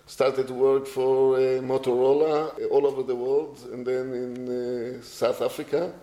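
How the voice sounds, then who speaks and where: Older Israeli-born male guest, mellow tone, hesitant delivery full of fillers, echoey room. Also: medium pitch, little pitch movement, a little slow. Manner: hesitant, many fillers and restarts. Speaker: guest, agriculture technology executive. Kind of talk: unscripted interview answers.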